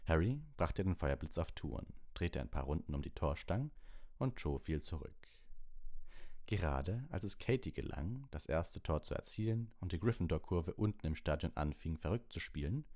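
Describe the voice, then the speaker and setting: Male narrator for a bedtime audiobook, low register, reading scripted prose. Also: darkish tone.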